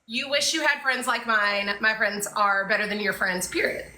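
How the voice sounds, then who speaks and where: A woman in her thirties co-hosting a live video call chat, gushing and excitable. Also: medium pitch, moderate pace, noisy background. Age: 30s. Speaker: podcast co-host.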